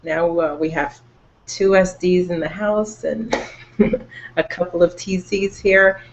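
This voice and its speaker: A woman's voice: fairly low pitch, steady tone, slow. A woman from New York introducing herself as a panel guest on a video call, upbeat. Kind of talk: casual video call talk. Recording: dull lo-fi call audio.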